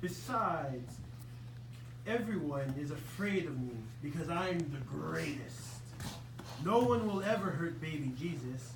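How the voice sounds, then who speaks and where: A man's voice: on the high side, lively intonation, takes it slowly. A man voicing a boastful, fierce lion king in a puppet show, with a pompous, snarling manner. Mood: pompous, indignant. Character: boastful, violent lion king demanding to be called Majesty. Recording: noisy, roomy recording from far off.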